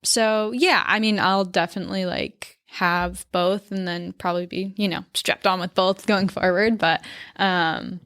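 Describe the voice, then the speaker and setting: Young woman from maine chatting about her work, lots of ums, smooth clear voice, dry sound. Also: fairly low pitch, steady tone, measured pace, a bit bright, dry recording. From central Maine, US. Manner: chatty, many ums and likes. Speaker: guest photographer. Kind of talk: casual interview.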